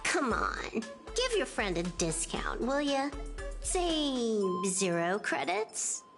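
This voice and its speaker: A woman's voice: high-pitched, huge pitch swings, slow pace, over music, exaggerated voice. An actress playing a cool, composed, teasing female outlaw in a video game. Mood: playful, confident.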